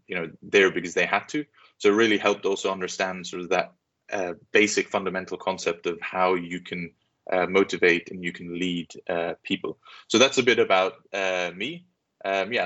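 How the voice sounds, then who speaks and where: A young man from Switzerland who grew up in Southeast Asia, talking casually and a little haltingly as a podcast guest. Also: sits low, fairly bright tone. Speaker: podcast guest, business leader. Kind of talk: casual interview chat. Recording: dull, muffled lo-fi sound.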